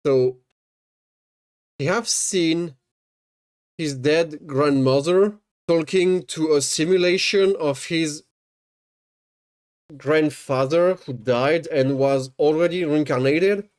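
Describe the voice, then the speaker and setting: A man's voice: on the high side, slow, long pauses, a little mellow. A man streaming, sarcastically mocking a video as he watches it, often stammering and swearing. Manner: sarcastic, swears, jokes and mocks; stammers and repeats words. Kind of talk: casual reaction commentary.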